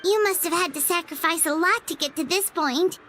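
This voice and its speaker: A woman voicing a tiny, childlike fairy companion in an anime fantasy game, squeaky, earnest and inquisitive. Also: fairly quick, a bit bright, a little hiss or hum. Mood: sympathetic. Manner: refers to self in third person, inquisitive. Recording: music behind the voice.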